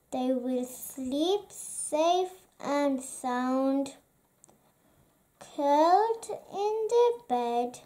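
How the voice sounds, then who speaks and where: A woman reading a children's bedtime story aloud in a gentle, lulling manner, phrase by phrase with pauses. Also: sits high. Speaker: children's book reader.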